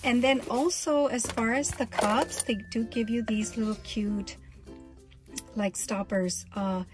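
A woman's voice: fairly high pitch, steady tone, takes it slowly, faint music behind. A woman chatting casually in a vlog, showing her purchases and gushing, often restarting her sentences.

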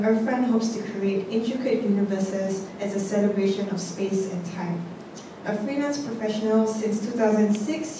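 A woman emcee reading out panel speaker bios on a theatre stage, measured and steady from her notes with the odd um. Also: medium pitch. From Singapore. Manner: measured, reads from notes with occasional ums. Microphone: handheld mic, heard from a distance. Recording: echoey hall, voice from far off, noisy.

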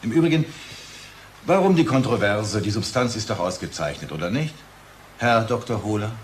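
Male company boss for radio play, acted: businesslike, jovial yet pushy, fairly deep. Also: lively intonation, moderate pace, a little hiss or hum, natural voice. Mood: placating, patronizing.

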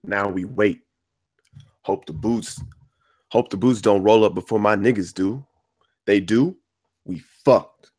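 A man acts out a streetwise getaway driver in a crime drama read. His voice sits low, his talk is clipped and slangy, tough yet protective. The sound is dry and close. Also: slow pace, pauses often, darkish tone, husky, no echo. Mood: tense. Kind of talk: script table read acting.

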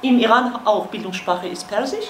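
A woman in her thirties from Turkey with a Kurdish accent, lecturing at a university seminar in halting speech with many fillers. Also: a little high. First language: Kurmanji Kurdish. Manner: halting, many fillers, gestures while explaining. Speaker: guest lecturer. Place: university seminar room, indoors. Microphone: far camera. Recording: echoey classroom, faint noise.

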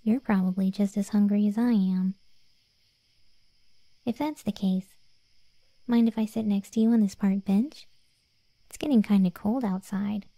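A woman voicing a cocky, cheeky undead cat girl in a soft, breathy, slangy ASMR roleplay. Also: mid-range voice, fairly even intonation, a little slow, long pauses, no room sound, rough recording. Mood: casual, teasing. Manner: soft and breathy, often near whispering, slangy, teasing.

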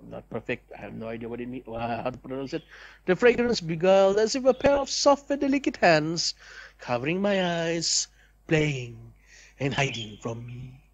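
A young man reads visual novel narration aloud as a let's player, deliberate and storybook-like, with casual asides. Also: sits high, highs cut off.

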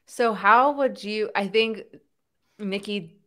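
A young woman hosting a podcast over a video call from home, with a mild stutter that shows as pauses and restarts. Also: medium pitch, a bit bright. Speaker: podcast host. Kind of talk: casual interview chat. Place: home room. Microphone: headset with boom mic on a video call.

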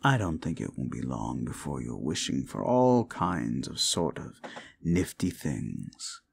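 A condescending demon, acted by a man, stuck on a date he never wanted. He drawls low and quiet in an ASMR roleplay, weary and looking down on his listener. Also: sing-song, measured pace, gravelly, a little hiss or hum, exaggerated voice. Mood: smug, taunting. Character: condescending, reluctant male demon on a forced date.

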